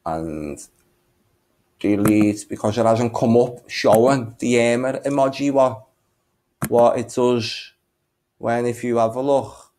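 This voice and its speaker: A man's voice: mid-range voice, takes it slowly, pauses often, mellow. A man giving casual, rambling commentary as he shares his screen, thinking aloud as he goes. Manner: rambling, thinking aloud, muttering little filler tunes. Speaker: video maker and narrator.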